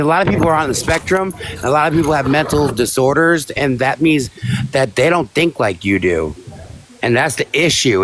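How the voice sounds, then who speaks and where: A man's voice: medium pitch, fairly bright tone, low-quality recording. A man joining a livestream as a guest, rambling through his point and often cutting in with short agreement sounds. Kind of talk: casual livestream discussion.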